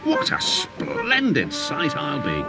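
A self-important steam engine, voiced by a man, eager and prone to grumbling. The voice is animated and storybook-like, with music underneath. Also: high-pitched, moderate pace, voice pushed up, low-quality recording. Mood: delighted.

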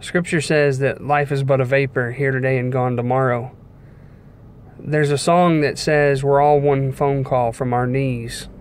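A young man speaks at a middling pitch, delivering a devotional message like an informal sermon. His manner is earnest and measured, with pauses between short phrases. He is recorded on a phone inside a car, with some background noise around the voice. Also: fairly even intonation. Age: young adult. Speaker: preacher giving a devotional message. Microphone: phone at arm's length.

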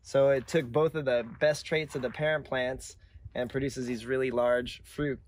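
A man's voice: medium pitch, flat delivery, normal speed. A middle-aged man hosting a casual on-location interview outdoors on a farm. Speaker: video host interviewing a grower. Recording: outdoor noise behind the voice.

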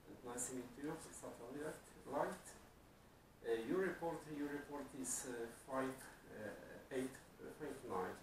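Polish guy doing ham radio calls, halting english, recorded from across the room w noisy background. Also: mid-range voice. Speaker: amateur radio operator. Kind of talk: ham radio contact, spelling call signs phonetically. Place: living room, indoors. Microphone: camera placed across the room. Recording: echoey room, distant mic, clear background noise.

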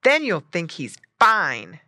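Woman as an eager young farm boy, bright-voiced. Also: on the low side, very animated, slow, no room sound, exaggerated voice. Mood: proud. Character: eager young farm boy, proud new pet owner.

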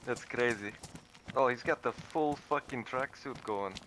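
A man bantering with deadpan jokes and crude language in casual game chat. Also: medium pitch, a little slow, noisy background. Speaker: gamer, clan member.

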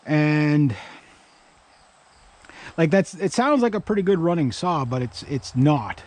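A man's voice: fairly high pitch, expressive, slow pace, darkish tone. A middle-aged Canadian man chatting casually for a vlog outdoors, rambling and thinking aloud. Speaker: vlogger. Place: outdoors in a grassy yard by a pickup truck. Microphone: action camera worn on body or held at arm's length.

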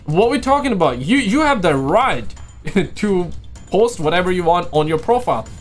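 A man's voice: sits high, sing-song, moderate pace. A man reacts on stream from a home room through a headset, with faint music playing under his voice. He speaks English as a second language, leans on slang and repeats his punchlines mockingly. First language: not English. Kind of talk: casual reaction commentary.

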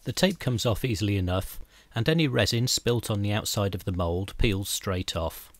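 A middle-aged man calmly narrating a scripted woodworking how-to, step by step, as the channel's host. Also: fairly low pitch, normal speed. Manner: calm, methodical step-by-step explanation.

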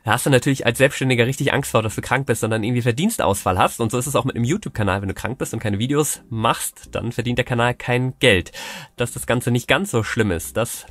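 Guy in his 30s with a cold doing space news, clear explainer tone, studio. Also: mid-range voice, fast talker, fairly bright tone, dry recording. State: has a cold. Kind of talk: scripted news narration. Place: studio with rocket set backdrop.

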